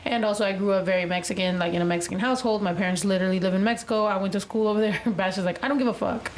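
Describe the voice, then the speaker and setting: A woman's voice: medium pitch, fairly even intonation, very fast, slight background noise, low-quality recording. A young American woman hosting a casual chat, rambling and switching between English and Spanish, with lots of fillers. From United States. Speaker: vlog host.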